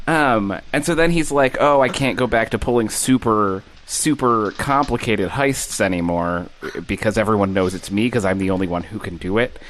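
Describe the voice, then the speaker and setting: Brief: male podcast co-host, slightly low voice, wry rambling recap, faint background hiss. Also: normal speed, hardly any pauses, a bit bright. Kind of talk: casual podcast chat.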